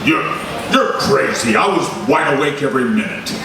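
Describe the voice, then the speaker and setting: A man's voice: medium pitch, big pitch swings, normal speed, a crowd in the background, natural voice. A blustering, grouchy newspaper boss, played by a man in an old radio serial. His delivery swings widely and indignantly. It is heard from far off in a very echoey, noisy space. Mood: flustered, indignant. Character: blustering, grouchy, hot-tempered newspaper chief. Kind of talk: old-time radio drama acting. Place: live stage recreation at a festival. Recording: very echoey hall, distant mic, noisy.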